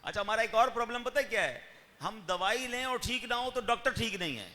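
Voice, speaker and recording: A man preaching a sermon, fervent, with raised peaks and slow drawn-out chanting. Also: extremely high pitch, lively intonation, bright, forceful tone. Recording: long hall reverb.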